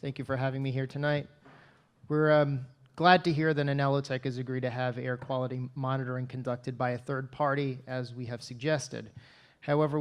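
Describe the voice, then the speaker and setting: Businesslike man speaking as a town planner. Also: mid-range voice. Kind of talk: formal public comment at a planning meeting.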